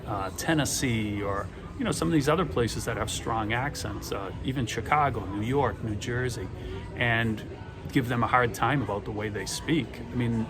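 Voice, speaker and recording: A middle-aged man from Boston with a Boston accent, telling stories in a chatty, rambling way with dry humor. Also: mid-range voice. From Boston, USA. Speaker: vlogger. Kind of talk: casual storytelling monologue. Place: airport terminal with gate announcements. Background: music and airport PA announcements.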